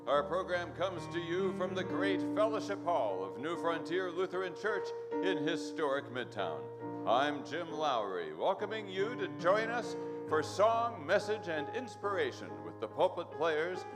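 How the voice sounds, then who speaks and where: A man's voice: a little high, very animated, unhurried, long reverb tail, over loud music. A man playing a folksy, pompous announcer on an old-time church radio show, with flowery phrasing. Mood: grand, announcing.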